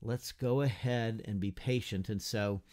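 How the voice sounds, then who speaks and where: Earnest older pastor teaching to webcam. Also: male voice, fairly low pitch, steady tone, a little slow, darkish tone. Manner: earnest, conversational, restarts with frequent "right?" and gestures. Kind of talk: unscripted teaching talk to camera. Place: indoor study with bookshelves and whiteboard. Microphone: webcam at desk distance.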